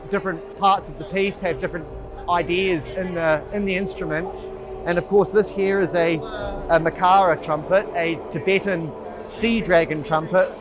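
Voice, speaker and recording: A man, a trumpet performer, talking about his creative process in an interview. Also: fairly high pitch. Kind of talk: interview reflection.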